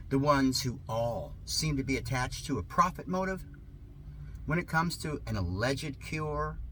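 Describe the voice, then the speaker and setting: A man with a medium-pitched voice that swings widely up and down. He speaks as an online host, halting yet emphatic and theatrical. There is some noise behind him. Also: fairly bright tone, rough recording. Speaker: online host introducing and reading a satirical article. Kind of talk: rambling talk and dramatic sarcastic reading. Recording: noticeable background noise.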